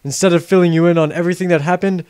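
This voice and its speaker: A man's voice: sits high, fairly even intonation, racing, a little mellow, smooth, no room sound, clean studio sound, natural voice. A man voicing a cocky, callous young adventurer with a glib, sarcastic manner in a parody game dub. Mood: flippant.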